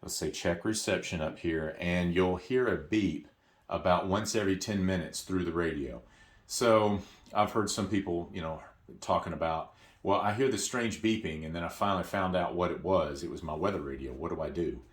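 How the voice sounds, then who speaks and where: Very deep-voiced man explaining a product. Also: normal speed. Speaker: product tutorial presenter. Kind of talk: casual hands-on product tutorial.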